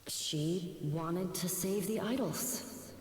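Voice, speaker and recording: An actress playing a gentle, remorseful muse in a video game drama, speaking solemnly with breathy, half-whispered phrase endings. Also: female voice, lowish voice, very slow. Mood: grave, resigned. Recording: echoey reverberant game audio with hiss.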